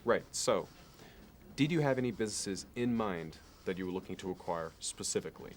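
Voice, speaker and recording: Male actor for courteous, fastidious Manhattan executive in ASMR roleplay; lowish pitch, unhurried, formal; some noise on the recording. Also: animated. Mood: polite, businesslike. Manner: measured, halting, meticulously appraising, politely formal. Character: polished, courteous, obsessively appraising male Manhattan finance executive.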